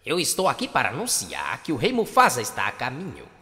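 A man's voice: medium pitch, huge pitch swings, a little fast, bright, forceful tone, pitched below the performer's own voice, exaggerated voice. A man voicing a fussy, pompous hornbill royal steward in Brazilian Portuguese for a cartoon fan dub. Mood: prim scolding. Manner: pompous, theatrical. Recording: long reverb tail.

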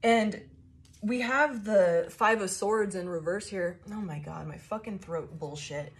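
A young woman doing a casual tarot reading to her phone camera in a small room, with a loud, carrying voice and a rambling, profane way of talking full of fillers. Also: medium pitch, a little slow. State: shaky. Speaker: tarot reader.

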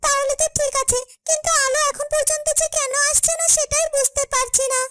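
A woman's voice: very high voice, level tone, a little fast, over music. A woman voicing a small, anxious companion in a fairytale cartoon, fretting and asking insistent questions. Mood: worried. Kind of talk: dramatic fairytale cartoon dubbing.

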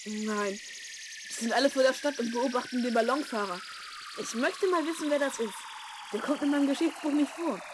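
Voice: high-pitched, moderate pace, background noise, natural voice. A performer playing a curious, earnest twelve-year-old schoolboy in a children's radio play. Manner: inquisitive, earnest.

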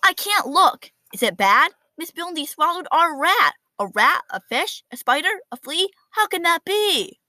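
A woman voicing excitable, disbelieving schoolchildren in a picture book, in exclamations and incredulous questions. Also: extremely high pitch, normal speed, hardly any pauses, dry recording, pitched above the performer's own voice, heavily acted. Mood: dismayed. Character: excitable, astonished schoolchildren watching their teacher in disbelief. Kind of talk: children's picture book read aloud.

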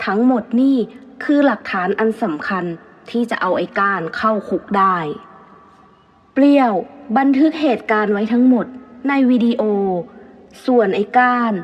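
Female narrator for dramatic tales, higher register, long reverb. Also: normal speed, highs cut off. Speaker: storyteller. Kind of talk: dramatic scripted story narration.

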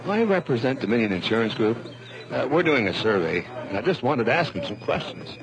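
A polite insurance caller in a radio drama. He is tentative, with a lively, varied intonation. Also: mid-range voice, brisk, muffled recording, music in the background. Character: polite, hesitant insurance company telephone caller.

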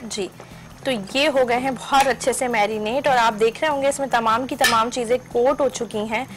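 A woman's voice: on the high side, fairly even intonation, moderate pace, over music. A middle-aged woman hosting a cooking show from a studio kitchen, explaining each step in a chatty, instructive way and mixing in English cooking terms.

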